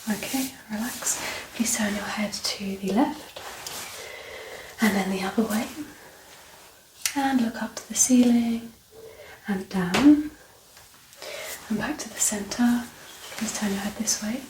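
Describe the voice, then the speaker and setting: A woman speaking softly and gently, often near a whisper, as a doctor in an ASMR medical exam roleplay. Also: medium pitch, takes it slowly, long pauses. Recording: roomy echo, distant mic, clear background noise.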